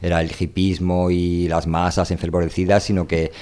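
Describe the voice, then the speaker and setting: Very deep-voiced man chatting about books. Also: flat delivery, mellow, clear, resonant tone. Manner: halting, rambling, informal, trailing into filler tags. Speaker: radio show host. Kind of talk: casual book discussion.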